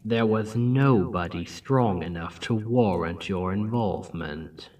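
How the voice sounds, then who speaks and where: A man voicing a proud, scheming male demon king back from death, in a soft-spoken ASMR roleplay with a mocking drawl that dips into whispers. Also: low voice, takes it slowly, distant mic. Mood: gloating.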